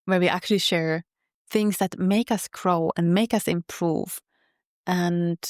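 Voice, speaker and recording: A woman with a Finnish accent, a podcast guest speaking in an earnest, measured way. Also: on the low side, fairly even intonation, a little mellow, no echo, clean studio sound. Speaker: podcast guest, health coach. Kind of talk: reflective interview talk.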